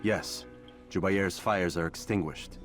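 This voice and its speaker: Principled assassin, deep solemn voice, earnest game-cutscene drama, prominent score. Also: male voice, steady tone. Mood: grave, reflective. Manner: measured, earnest, grave. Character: principled male assassin, solemn, stoic and resolute. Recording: loud music behind the voice.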